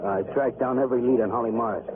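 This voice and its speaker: A man's voice: mid-range voice, fairly even intonation, fast talker, close to an everyday voice. A man playing a matter-of-fact, nagging American police detective in an old radio drama, blunt and casual. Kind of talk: 1950s radio crime drama acting. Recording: muffled, noisy vintage radio broadcast.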